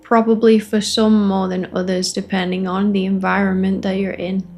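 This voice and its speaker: A woman facilitating a guided meditation, offering gentle invitations in short phrases with long pauses between them. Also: mid-range voice, little pitch movement, faint music behind. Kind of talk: guided meditation, spoken softly to listeners.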